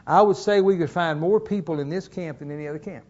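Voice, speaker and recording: A man's voice: fairly high pitch, fast, a little mellow, lo-fi sound. A middle-aged man, preaching a sermon as pastor in folksy storytelling fashion. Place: church.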